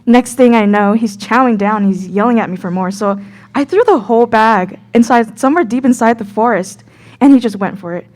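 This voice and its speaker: A young woman acting as an easily scared myth geek, telling her story in a casual, halting, earnest way. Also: a little high, darkish tone, lightly acted. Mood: earnest recounting. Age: young adult. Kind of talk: scripted radio play storytelling.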